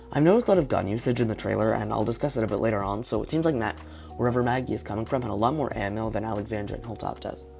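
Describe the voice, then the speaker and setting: A man with a hoarse, partly lost voice, talking through his guesses in a casual video commentary. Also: fairly low pitch, a little mellow. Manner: speculative, thinking aloud. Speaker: video reviewer.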